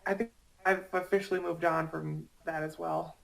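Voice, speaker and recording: A woman's voice: low-pitched, fairly even intonation, normal speed, vocal fry, low-quality recording. A woman chatting casually, hesitant and apologetic, often stammering over the words. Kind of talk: casual chat.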